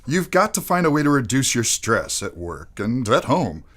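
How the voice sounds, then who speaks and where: Radio drama doctor played by a male actor: avuncular, unworried, gently patronizing, animated melody in a middle register. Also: medium pitch, normal speed, background noise, natural voice. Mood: reassuring, dismissive. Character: calm, reassuring, mildly dismissive male family doctor. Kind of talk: mid-century radio play acting.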